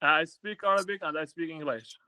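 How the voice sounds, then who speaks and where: A man's voice: on the high side, fairly quick, bright, forceful tone, smooth, no echo. Swedish-born man hosting a dry-sounding call. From Sweden. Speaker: capital-raising advisor hosting a call. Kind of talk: business discovery call.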